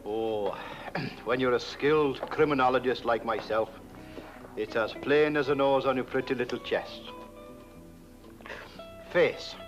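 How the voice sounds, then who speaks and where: An actor playing a chatty, self-important English village constable in a comic film, rambling and hesitant, with a British accent. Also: male voice, medium pitch, a little slow, pauses often, soft background music, close to an everyday voice. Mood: smugly dismissive. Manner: rambling, pompous, hesitant with repeated phrases. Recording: dull, slightly noisy old film soundtrack.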